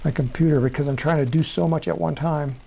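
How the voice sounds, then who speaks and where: A middle-aged man from Chicago talks through a screen-share demo at his desk mic. His voice is mellow and gravelly. He rambles, corrects himself, and chuckles at his own slips. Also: a little high, steady tone, quick pace, slight background noise. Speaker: rocketry software presenter.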